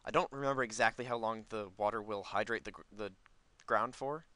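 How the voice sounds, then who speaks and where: A man's voice: lowish voice, a little fast, bright, forceful tone, no room sound. A man giving casual, unscripted gameplay commentary, rambling as he thinks aloud. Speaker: gaming video host.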